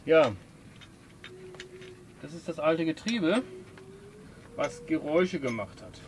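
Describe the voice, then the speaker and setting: A man's voice: on the high side, noisy background. A man casually explaining the parts he is working on while doing repairs inside a sailboat. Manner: chatty, explains while working with his hands. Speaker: boat owner doing refit work. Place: cramped cabin of a sailboat.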